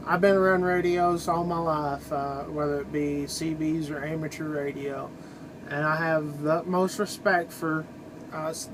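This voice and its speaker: High-pitched man, casual vlogging. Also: noisy background. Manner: folksy, opinionated, informal. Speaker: trucker video blogger. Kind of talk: casual rambling vlog monologue.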